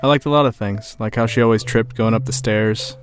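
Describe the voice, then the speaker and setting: A man's voice: on the low side, fairly even intonation, a little fast, close to an everyday voice. A man acting as a lonely, heartbroken guy telling his story in an audio play. He is wry and confiding, with a conversational delivery. Music plays underneath his voice. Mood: fond. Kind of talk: first-person narration in an audio drama.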